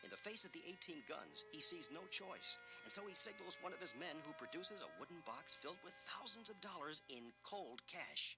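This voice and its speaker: A man narrates an adventure tale with dramatic flair, in 1980s story record style. His fairly high voice moves around a lot, and loud music plays underneath. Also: expressive, a little fast, few pauses, rough recording.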